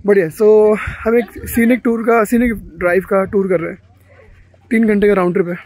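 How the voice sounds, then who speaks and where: A man in his thirties from India speaks in a high-pitched voice. He narrates a trip in a chatty, conversational way, addressing viewers directly into a phone held at arm's length. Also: fairly even intonation, moderate pace, darkish tone, poor audio. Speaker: travel vlogger. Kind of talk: casual vlog narration to camera, Hindi mixed with English. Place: outdoors at mountain overlooks and inside a car.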